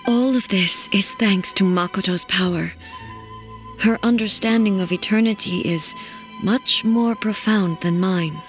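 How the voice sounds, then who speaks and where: Slow, solemn immortal queen over music woman acting. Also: medium pitch, natural voice. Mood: solemn, reflective. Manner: measured, formal, wistful. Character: solemn immortal female ruler, gentle and reflective, grieving. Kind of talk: video game dramatic dialogue. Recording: music playing behind.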